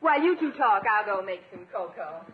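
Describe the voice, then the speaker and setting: A woman's voice: medium pitch, sing-song, a little fast, close to an everyday voice. A woman playing a gracious, cheerful sister and hostess in a 1950s radio comedy. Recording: dull, faintly noisy 1950s radio recording.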